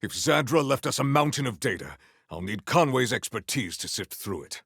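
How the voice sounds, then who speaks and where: An actor playing a proud, formal, honor-bound warrior in a video game, with archaic, theatrical diction. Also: male voice, mid-range voice, very animated, moderate pace, fairly bright tone, husky, no room sound, studio quality, heavily acted. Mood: courteous, measured.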